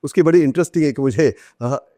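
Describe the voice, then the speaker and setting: A man's voice: on the high side, a little fast, warm tone, dry recording. A man in his sixties or older with an Indian accent, chatting as a podcast guest and telling anecdotes, slipping English phrases in. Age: 60s or older. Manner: switches into English phrases, acts out quoted dialogue. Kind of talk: conversational anecdotal storytelling.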